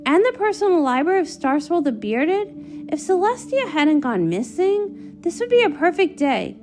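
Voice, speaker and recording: Woman as eager, high-voiced studious unicorn. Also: normal speed. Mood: excited. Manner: earnest, excitable. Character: studious, eager young female unicorn princess. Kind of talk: comic read-along character acting.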